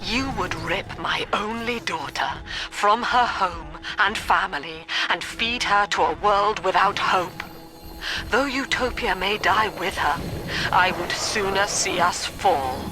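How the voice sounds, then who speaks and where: An actress playing a cold, middle-aged female cult leader and embittered mother in a video game, speaking in measured, severe, articulate monologues. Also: medium pitch, music in the background, lightly acted, poor audio. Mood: condemning, grave.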